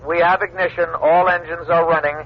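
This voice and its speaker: An actor playing a calm male mission control announcer in a radio drama, measured, official and clipped. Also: on the high side, natural voice. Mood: official, tense. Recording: muffled radio transmission over engine noise.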